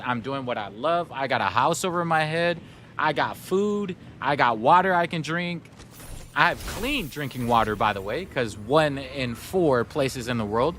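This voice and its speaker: A man's voice: medium pitch, bright, forceful tone, a little hiss or hum. A man whose first language is Somali, chatting casually and upbeat with his live-stream audience while gaming. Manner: chatty, upbeat, talks straight to chat.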